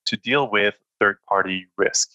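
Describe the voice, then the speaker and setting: Low-voiced man, relaxed interview answers. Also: a little slow, dry recording, poor audio. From born in France, raised in Spain. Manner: thoughtful, many fillers and restarts. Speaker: podcast guest, data analyst. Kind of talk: casual interview talk.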